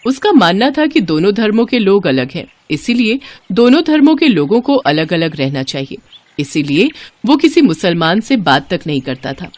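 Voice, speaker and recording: A woman's voice: medium pitch, a little mellow, a little hiss or hum. A woman narrating a scripted moral story as a storyteller.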